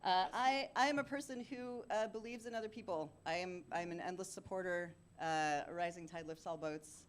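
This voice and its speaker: A woman's voice: lowish voice, normal speed, hardly any pauses, slight background noise. A woman hosting a live fan panel, chatting casually and effusively, laughing often. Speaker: panel host and voice actor. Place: café event space with audience.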